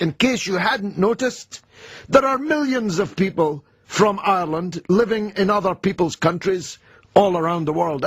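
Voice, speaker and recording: A man's voice: high voice, husky, no echo. A man from Britain hosting a radio phone-in, combative and questioning his caller. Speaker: radio talk show host. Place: radio studio. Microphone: studio mic.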